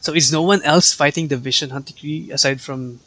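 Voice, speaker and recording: Chatty Filipino man streaming, slightly high voice. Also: steady tone, brisk, bright, forceful tone, slight background noise. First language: Tagalog. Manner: chatty, switches between Tagalog and English. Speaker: game livestreamer. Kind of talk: casual live game commentary. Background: faint game music.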